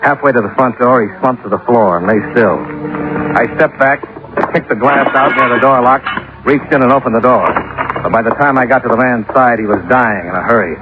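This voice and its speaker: A man's voice: mid-range voice, a little fast, natural voice. A man playing a cool, methodical insurance investigator who narrates his case in clipped, matter-of-fact 1950s radio drama style. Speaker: lead actor and narrator. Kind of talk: 1940s-50s radio detective drama acting. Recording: dull vintage radio recording, music behind.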